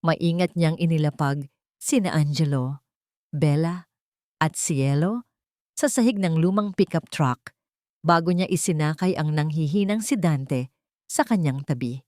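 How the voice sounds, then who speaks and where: Female audiobook narrator, somewhat low pitch, solemn, dramatic scripted storytelling, deliberate phrasing, dry sound. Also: a little mellow, no echo.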